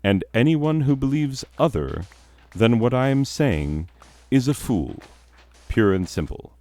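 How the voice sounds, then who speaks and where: A man, a teacher, chatting casually in a deep voice with a downward, newscaster-like inflection that sounds authoritative. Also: very animated, a little slow, mellow, no room sound. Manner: rambling with restarts and chuckles. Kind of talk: casual chat.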